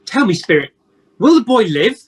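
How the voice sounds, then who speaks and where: A woman's voice: mid-range voice, sing-song, moderate pace, slightly rough. A woman playing a troubled old male miser in an amateur radio play, earnest and full of challenging questions. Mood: anxious. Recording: faint noise on home call.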